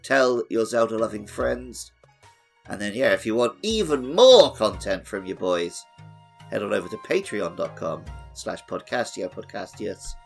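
A man's voice: lowish voice, lively intonation, measured pace, gravelly. A man co-hosting a podcast in a casual, jokey and informal way. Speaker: podcast co-host. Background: music.

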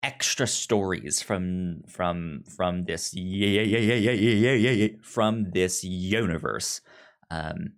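A man's voice: low-pitched, slow pace. Stammering man hosting a casual podcast. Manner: stammering, often repeats syllables and words. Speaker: podcast co-host. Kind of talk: casual book review chat.

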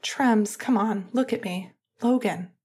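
A woman voicing a tall young male hockey player who speaks softly and coaxingly. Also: medium pitch, slow, breathy edge. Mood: soft, pleading. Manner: soft, coaxing.

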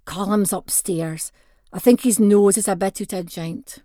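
Woman putting on an excitable Scottish granny. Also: mid-range voice, a little slow, no echo, studio quality, lightly acted. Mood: confiding. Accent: North-East Scottish (Doric). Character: excited grandmother announcing a baby's birth.

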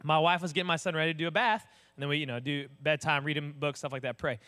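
Booming, fast-talking young male pastor. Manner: animated storyteller, acts out voices. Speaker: associate pastor. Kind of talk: casual sermon with stories.

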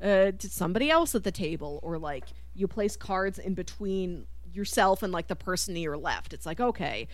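A woman chatting casually as a podcast co-host about media, animated, often acting out imagined reactions and laughing through her words. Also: fairly low pitch, moderate pace, hardly any pauses, dry recording. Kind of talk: casual film discussion.

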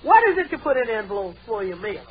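An actress playing a sensible, folksy, long-suffering housemaid in a vintage radio comedy. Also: female voice, mid-range voice, big pitch swings, fast talker, natural voice. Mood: exasperated. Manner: folksy, wry, exasperated asides. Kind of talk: 1940s-50s radio sitcom acting. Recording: dull old radio broadcast recording.

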